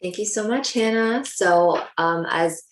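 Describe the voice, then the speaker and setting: A woman's voice: medium pitch, a little slow. A young woman from Canada, a dental hygienist, talking casually and upbeat with lots of ums. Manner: chatty, upbeat, many ums. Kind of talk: casual talk to a live audience. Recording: echoey room.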